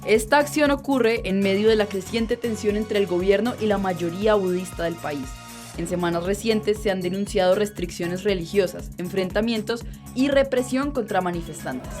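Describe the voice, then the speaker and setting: A woman playing a grave radio news reporter, reading a scripted bulletin in a formal, measured way. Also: mid-range voice, close to an everyday voice. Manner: formal, measured newsreader delivery. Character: grave female news reporter announcing breaking events. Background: music under the voice.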